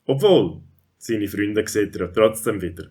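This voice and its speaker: A man's voice: fairly low pitch, expressive, unhurried, darkish tone, husky. A man with a Swiss German accent narrating a scripted children's audio story.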